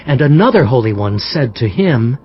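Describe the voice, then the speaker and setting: An actor playing an earnest, solemn prophet, narrating dramatized scripture expressively. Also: male voice, medium pitch, sing-song, normal speed, close to an everyday voice. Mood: solemn, awed. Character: prophet Daniel, earnest solemn man recounting visions. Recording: dull audio under background music.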